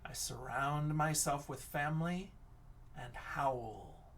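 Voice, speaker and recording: A man teacher reading a picture book aloud and chatting to children, expressive, often dropping into hushed whispers. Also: on the high side, takes it slowly, distant mic, slight background noise.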